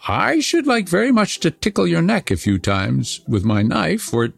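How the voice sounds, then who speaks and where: A man's voice: fairly high pitch, very animated, moderate pace, vocal fry, voice pushed up, exaggerated voice. A man voicing a fierce, wild little robber girl who talks in a bossy, threatening way.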